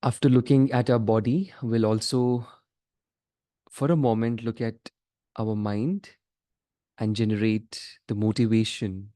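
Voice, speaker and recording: Guy teaching guided meditation, really unhurried, lots of pauses, mid pitch voice, no room echo. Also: fairly even intonation, dark tone, studio quality. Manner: measured, pausing between short phrases.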